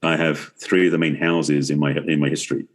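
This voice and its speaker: A man's voice: a bass voice, flat delivery, brisk. A middle-aged man from England with an English accent, talking reflectively as a guest in a casual podcast conversation. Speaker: podcast guest, relationship expert and author.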